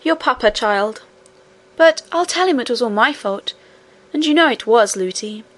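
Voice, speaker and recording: A woman's voice: a little high, animated, moderate pace, low-quality recording. A woman voicing an anxious, proper nursemaid in a storybook, with a fussy, easily indignant manner. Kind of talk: storybook character reading.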